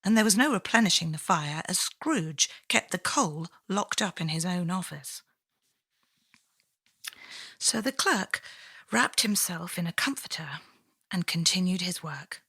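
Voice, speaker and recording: Woman, dramatic story narration, deliberate suspenseful phrasing, bright forceful tone, unhurried. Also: lowish voice, animated, slightly rough, no room sound, clean studio sound.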